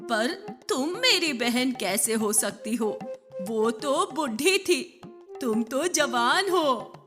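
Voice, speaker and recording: A woman's voice: sits high, animated, slow pace, music in the background. A woman voicing a worried, distrustful old village woman in a cartoon story. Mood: bewildered. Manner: distrustful, fretful.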